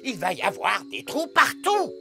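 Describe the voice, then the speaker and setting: An actor voicing a grave old elder in a cartoon, creaky and solemn, speaking with dramatic weight. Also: male voice, very high-pitched, huge pitch swings, normal speed, over music, full character voice. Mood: grave, foreboding. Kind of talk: French cartoon dub.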